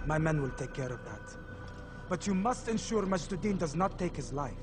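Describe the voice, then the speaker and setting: High-voiced, condescending assassin mentor. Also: male voice, big pitch swings, normal speed, over music. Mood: stern, lecturing. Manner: lecturing, disdainful. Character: stern, condescending superior of an assassin brotherhood. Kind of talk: video game dramatic acting.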